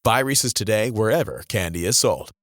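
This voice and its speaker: A man's voice: lowish voice, big pitch swings, normal speed, a bit bright, dry recording, studio quality. A man delivering a scripted advertisement as an announcer. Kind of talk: scripted ad read.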